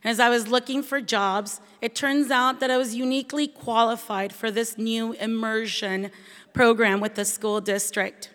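Woman telling her story, kinda high voice, echoey hall sound. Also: steady tone, normal speed, a bit bright. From Venezuela. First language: Spanish. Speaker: bilingual teacher. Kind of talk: scripted personal storytelling. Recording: long hall reverb.

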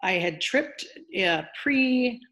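An older woman with a deep voice, a former wrestler chatting casually as an interview guest, rambling with lots of fillers. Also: animated, slow pace, bright, forceful tone.

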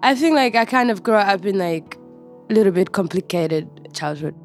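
A young woman with a Somali accent speaks as an interview guest. She tells her own story casually, in halting, informal phrases, with faint music behind her. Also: mid-range voice, lively intonation. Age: young adult. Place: studio.

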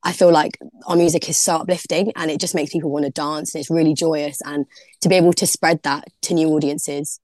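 A woman's voice: low-pitched, steady tone, a little fast, a little mellow, no echo. A young woman, a DJ guest on a podcast, chatting casually and filling her talk with "like". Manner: chatty, heavy use of "like", laughs easily. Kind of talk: casual interview chat.

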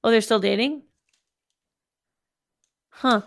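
A woman's voice: on the high side, slow, creaky, no room sound, clean studio sound. A woman in her thirties co-hosting a podcast, chatting casually and reading listener emails aloud. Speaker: podcast co-host.

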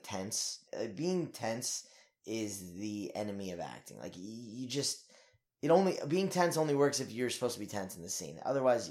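A young man, an actor, talking in a casual interview in an energetic, rambling way with lots of fillers and swearing. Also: on the low side.

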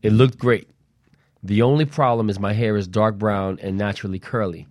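A man's voice: on the low side, steady tone, normal speed, darkish tone, dry recording, studio quality. A man talking casually and conversationally as a panelist on a talk show, with some slang.

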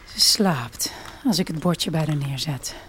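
A woman's voice: deep voice, lively intonation, a little slow, a little hiss or hum. Reflective woman narrating a radio play. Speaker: narrator of an audio drama. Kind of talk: first-person dramatic storytelling. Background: music.

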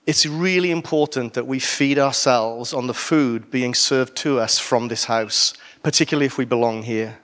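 A Welsh man preaching to his church. His voice sits at an ordinary middle pitch. He delivers the sermon casually, breaking off for jokes and asides. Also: normal speed, hardly any pauses, a bit bright. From Wales. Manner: conversational with asides and humor.

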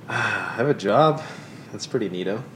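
A man's voice: lively intonation, slow pace, a bit bright, recorded from a distance. A young man chatting as a podcast guest, laughing often and making self-deprecating asides. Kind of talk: casual chat. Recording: roomy sound, off-mic, rumbling noise.

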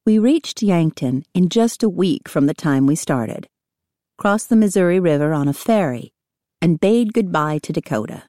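Low, mellow pioneer woman, read by an actress. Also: lively intonation, normal speed, no room sound, studio quality, close to an everyday voice. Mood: courteous, composed. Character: courteous pioneer woman writing letters. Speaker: audiobook reader of letters. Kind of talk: scripted reading of historical letters.

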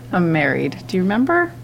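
A woman's voice: on the low side, muffled recording, a little hiss or hum, heavily acted. An actress playing a patient, tender middle-aged daughter visiting her confused mother, speaking naturally and reassuringly in an audio drama. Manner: gentle, reassuring.

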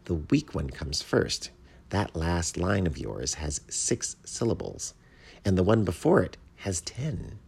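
Guy putting on a snooty polite mockingbird, lectures like a critic, storybook style, swoopy pitch, bit of gravel. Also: wildly swooping pitch, measured pace, full character voice. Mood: kindly lecturing. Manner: pompous, polite, pedantic. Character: self-important, courteous male mockingbird, learned poetry critic.

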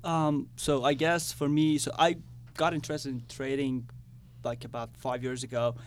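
Even-toned young man from Iran. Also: medium pitch, normal speed, faint music behind. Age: young adult. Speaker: student guest. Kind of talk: casual interview answers. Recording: clearly audible background noise.

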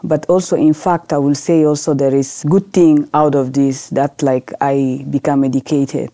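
Low, dark-voiced woman from South Sudan. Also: little pitch movement, no room sound. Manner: earnest, halting non-native English with restarts. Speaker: guest, lawyer. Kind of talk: podcast interview answers.

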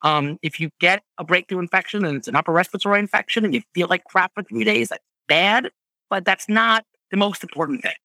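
A male physician answering questions on a video call, explaining in a patient, measured way and checking in with "right?" now and then. His voice sits a little high with a bright edge, recorded close and dry. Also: dry recording. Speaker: physician guest.

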